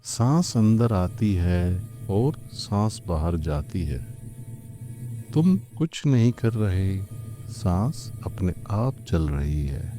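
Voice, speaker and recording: A man guides a meditation in a low, very dark and soft-edged voice. He speaks very slowly and calmly, placing long pauses between gentle instructions. The delivery is scripted and soothing throughout. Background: background music.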